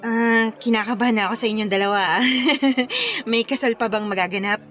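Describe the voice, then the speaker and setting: A woman's voice: a little high, expressive, brisk, fairly bright tone. An actress playing a friendly, joking woman wedding planner with a Filipino accent in a radio drama, with music behind. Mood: nervous joking. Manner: teasing, jokey, friendly.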